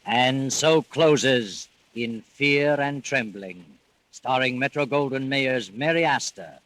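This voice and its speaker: A man's voice: mid-range voice, takes it slowly, fairly bright tone. A man narrating a 1940s radio thriller in a formal, dramatic announcer style. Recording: old-time radio broadcast recording. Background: dramatic music.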